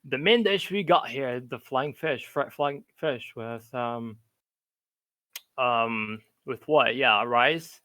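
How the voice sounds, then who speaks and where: A man's voice: medium pitch, slow, bright, forceful tone, no room sound. A man from Barbados chatting casually as a class participant, speaking in a hesitant way and repeating himself. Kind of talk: casual chat.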